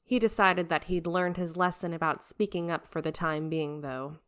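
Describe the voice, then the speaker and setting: A woman's voice: on the low side, steady tone, moderate pace, a bit bright, clear, resonant tone. A woman reading scripted fiction as an audiobook narrator.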